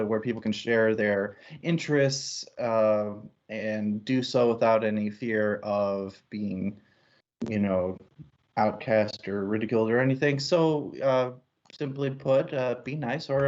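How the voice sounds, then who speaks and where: A man's voice: fairly low pitch, takes it slowly, darkish tone, lo-fi sound. A man hosting a book discussion livestream as one of two co-hosts. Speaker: co-host of a book discussion show. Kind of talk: livestream hosting, part casual, part prepared intro.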